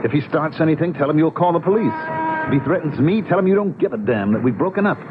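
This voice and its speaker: An actor playing a submissive fiancé who speaks earnestly and pleadingly, in old-time radio drama style. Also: male voice, fairly high pitch, lively intonation, fast, over music, natural voice. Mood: urgent. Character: submissive, pleading fiancé under his tormentor's thumb. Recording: muffled, noisy old radio sound.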